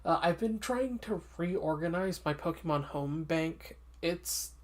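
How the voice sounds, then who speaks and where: Low-voiced woman, slightly sniffly streamer. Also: a little slow. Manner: chatty, frequent ums and uhs, candid opinions. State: congested, a little sniffly. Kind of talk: casual gameplay commentary.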